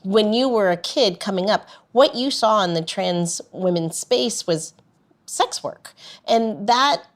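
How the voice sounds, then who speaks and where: A woman with a medium-pitched voice hosts an interview. She speaks conversationally but with emphasis, deliberately underlining her points. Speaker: podcast host. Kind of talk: conversational interviewing, reflecting back the guest's points.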